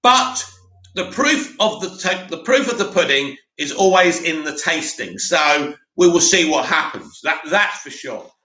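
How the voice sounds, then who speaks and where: A man hosting a live stream, reading out viewer comments and answering them in a loud, opinionated way with lots of restarts. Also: a little high, big pitch swings, a little slow. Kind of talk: casual live chat. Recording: echoey room.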